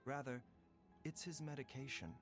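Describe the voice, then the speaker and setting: A man playing a composed, erudite doctor in a video game, speaking in a measured, formal, clinical manner. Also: mid-range voice, steady tone, a bit bright, close to an everyday voice. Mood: explanatory. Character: composed, erudite male doctor, gravely concerned. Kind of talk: video game character acting. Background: background music.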